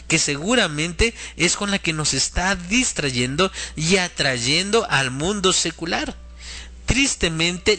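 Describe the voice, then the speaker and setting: A man's voice: on the high side, bright, forceful tone. A man hosting a religious program, preaching in an earnest, admonishing way and addressing listeners directly as a spiritual teacher.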